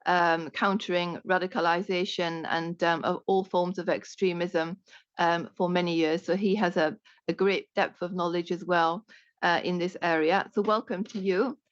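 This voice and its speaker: A woman's voice: lowish voice, steady tone, normal speed, dry recording. A woman moderating a policy dialogue, introducing speakers politely with many ums and uhs. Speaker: panel moderator. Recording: dull, dry conference audio.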